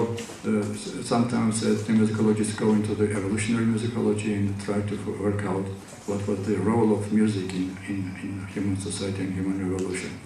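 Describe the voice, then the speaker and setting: A man with a Georgian accent delivers an academic talk, his speech halting and full of fillers and restarts. His voice sits on the low side. He is heard from a distance in a large hall with heavy echo and a faint hum of ambience. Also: darkish tone. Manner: hesitant, many fillers and restarts. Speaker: conference speaker. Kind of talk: academic lecture. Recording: echoey hall from far off.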